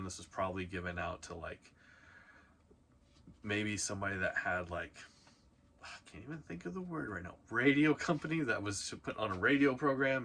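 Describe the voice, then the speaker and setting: A man's voice: lowish voice, pushed and bright, slight background noise. A man hosting a video, talking through a countdown in a casual, informal way with punchy emphasis. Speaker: host of a reselling video channel. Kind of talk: casual countdown commentary to camera.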